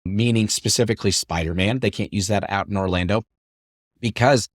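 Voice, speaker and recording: A man hosting a podcast, his voice a bit hoarse and lowered from a lingering cough, talking in an upbeat, chatty, rambling way. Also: brisk, no room sound, studio quality. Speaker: podcast host. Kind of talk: solo podcast talk.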